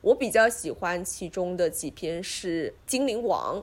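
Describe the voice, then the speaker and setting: A woman co-hosting a casual book discussion, expressive and dropping English words into her talk. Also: fairly low pitch, measured pace. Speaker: podcast co-host.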